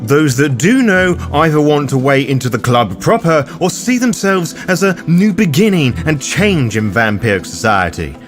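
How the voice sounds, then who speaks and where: A man narrating a scripted guide as its host, with a theatrical, deliberate delivery and dry, irreverent asides. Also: fairly high pitch, sing-song, soft background music. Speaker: host of a roleplay guide show.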